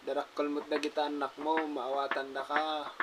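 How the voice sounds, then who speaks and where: A man's voice: fairly high pitch, completely flat delivery, normal speed, background noise, close to an everyday voice. A man with an Ilocano accent playing a sassy, blunt, teasing companion in a drama, with a mocking manner. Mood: blunt, needling.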